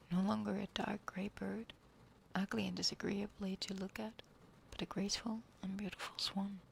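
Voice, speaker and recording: A young woman whispers close to the microphone, her soft voice carrying a gravelly edge. She reads slowly and gently, as an ASMR streamer talking to her viewers. A faint hiss sits under the recording. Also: medium pitch. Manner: soft whispering, chatting with chat. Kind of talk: whispered ASMR story reading.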